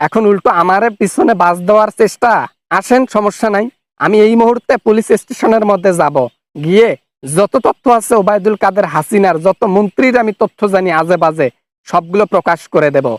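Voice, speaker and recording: A man's voice: high-pitched, brisk, dry recording, natural voice. A man voicing a defiant, accusatory fugitive official in a comic cartoon phone argument. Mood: angry, threatening. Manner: accusatory, threatening, colloquial. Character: angry, defiant fugitive male official, funny cartoon caricature.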